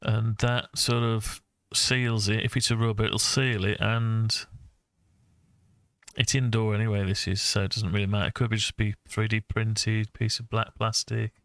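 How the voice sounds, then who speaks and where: Low, monotone man thinking aloud. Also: moderate pace, dry recording. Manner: hesitant, musing, talks through ideas to himself. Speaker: presenter of a hobby design project video. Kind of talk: casual screen-share design walkthrough, thinking aloud.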